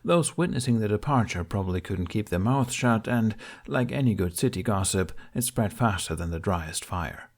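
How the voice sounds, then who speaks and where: Low-voiced man narrating a fantasy audiobook. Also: expressive, moderate pace, hardly any pauses, darkish tone. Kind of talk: expressive scripted fiction narration.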